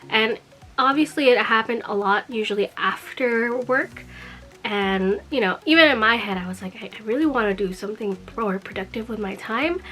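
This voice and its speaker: A young woman talks casually to her viewers while she is still getting over a cold. She rambles with lots of fillers and light laughs in a mid-range voice. Faint music sits underneath. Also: mid-range voice. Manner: chatty, many fillers and little laughs; quiet and sleepy, just woken up. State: recovering from a cold. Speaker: vlogger. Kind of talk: casual vlog talk.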